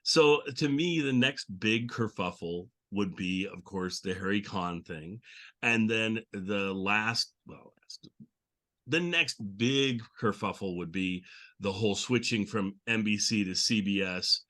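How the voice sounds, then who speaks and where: A man with a fairly low, bright and forceful voice hosts a casual conversation. He rambles along enthusiastically, as a show host among friends. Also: takes it slowly. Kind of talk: casual group chat about old radio.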